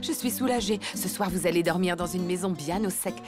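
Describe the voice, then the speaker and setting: A woman playing a caring, gently worried elephant mother in a children's cartoon, soothing and expressive. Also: lowish voice, fairly quick, close to an everyday voice. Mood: concerned. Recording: music behind the voice.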